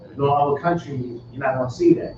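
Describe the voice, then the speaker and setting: A man's voice: mid-range voice, expressive, fast talker. A man in a class discussion, adding to the teacher's point, heard in a very echoey, distant, muffled recording with faint noise. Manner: agreeing, builds on the teacher's point. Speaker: class participant.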